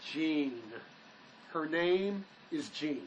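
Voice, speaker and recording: An actor playing a guarded, stubbornly protective fugitive in a drama, with a terse, questioning way of talking. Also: male voice, fairly high pitch, extremely slow, dull audio, slight background noise. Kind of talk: dramatic dialogue reading.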